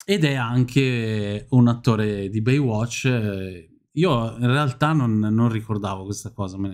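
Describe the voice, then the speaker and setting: Man, forties, lowish voice, casual streamed film review, halting delivery, emphatic repetition. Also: darkish tone. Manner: halting, repeats phrases for emphasis. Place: indoor room at home.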